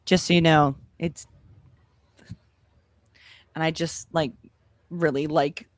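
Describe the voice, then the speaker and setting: A woman's voice: deep voice, steady tone, slow, no echo. A woman playing a tabletop game, talking in a wry, teasing way and laughing often. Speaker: player. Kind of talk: casual tabletop play.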